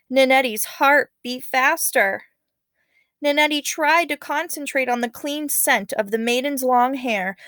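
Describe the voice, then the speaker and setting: A woman's voice: a little high, fairly bright tone, no echo, studio quality. A woman speaking as a teacher, reading a story aloud expressively and talking to students in an instructive, casual way. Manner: instructive, checks in with okay and all right.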